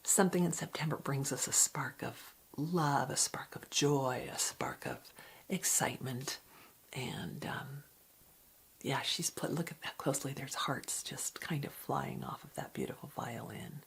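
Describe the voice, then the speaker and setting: A woman giving an ASMR card reading in a soft, breathy whisper, with a gentle, reflective, unhurried manner. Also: extremely low pitch. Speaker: card reader.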